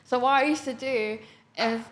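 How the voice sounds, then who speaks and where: A young woman from Japan talking easily in a relaxed interview. Her voice sits a little high, and she slips between English and Japanese in a light, chatty way. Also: moderate pace. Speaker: guest singer. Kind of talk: casual interview chat.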